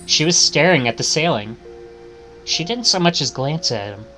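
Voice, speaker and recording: A man's voice: on the high side, animated, normal speed, sharp tone, faint music behind, rough recording. A man streaming a visual novel, reading it aloud in English with dramatic narration.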